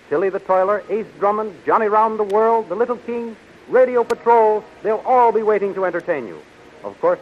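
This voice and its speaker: High-pitched American 1930s radio announcer, male. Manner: theatrical, declamatory. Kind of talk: 1930s radio announcing, scripted narration and promotion. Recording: dull, noisy 1930s radio recording.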